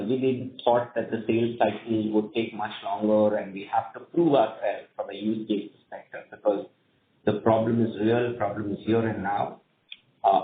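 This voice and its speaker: A man with an Indian accent speaking as a company executive, answering questions on an earnings call in measured, hesitant phrasing. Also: fairly low pitch. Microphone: conference call line. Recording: tinny phone line, echoey room, faint noise.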